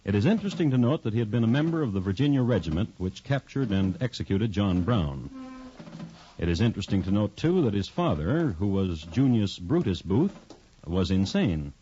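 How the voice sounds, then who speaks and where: A man playing a dry, wry crime storyteller, narrating in 1950s radio style with deliberate phrasing. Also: fairly low pitch, sing-song, warm tone. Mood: dry, storytelling. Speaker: host and narrator. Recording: dull, faintly hissy 1950s radio recording.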